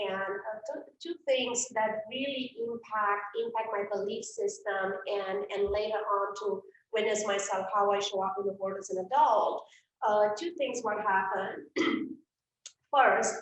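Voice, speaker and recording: A woman's voice: medium pitch, a little hiss or hum. Brief: middle-aged Romanian-accented woman, earnest event speaker with many fillers, very echoey room, off-mic. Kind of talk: live online event talk. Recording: roomy, distant from the mic.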